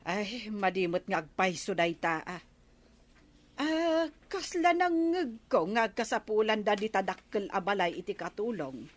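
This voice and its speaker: A woman's voice: medium pitch, big pitch swings, unhurried. A woman playing a gossipy, helpful village neighbor in an Ilocano radio comedy drama, chatty and with an Ilocano accent.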